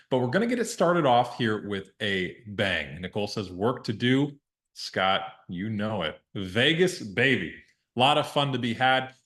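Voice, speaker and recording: An energetic man opening a webinar like an emcee, his voice sitting fairly low. He keeps the welcome lively and chatty before introducing the speaker. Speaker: webinar host. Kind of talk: upbeat webinar hosting.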